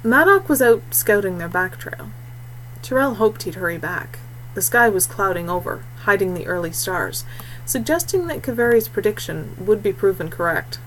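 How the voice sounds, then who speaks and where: A woman's voice: medium pitch, animated, moderate pace, fairly bright tone, a little hiss or hum, low-quality recording. A woman reading scripted fiction as an audiobook narrator.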